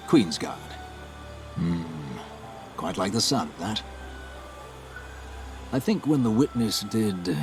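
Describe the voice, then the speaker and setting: An actor playing a genteel, hopeful soldier in a video game, with a courteous, reflective manner. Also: male voice, fairly low pitch. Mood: resolute, hopeful. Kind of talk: video game cutscene monologue. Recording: background music under the voice.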